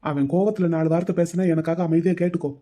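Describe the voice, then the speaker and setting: A clipped, dismissive elder brother, as a performer puts him on in an audio drama, speaking low and cold. He keeps his answers short and distant. The tone stays mellow and dark. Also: steady tone, fast talker, close to an everyday voice. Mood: irritated.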